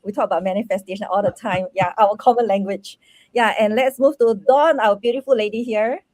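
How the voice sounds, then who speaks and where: A woman from Singapore hosting an online panel over a video call, bubbly and quick to laugh. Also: medium pitch, steady tone, a little mellow. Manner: bubbly, laughs between words. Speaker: panel host.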